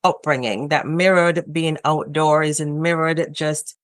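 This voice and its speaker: A middle-aged woman with a Jamaican accent, a home childcare educator telling her story as a podcast guest in a relaxed, cheerful way. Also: low voice, unhurried, dry recording. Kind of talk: casual interview talk.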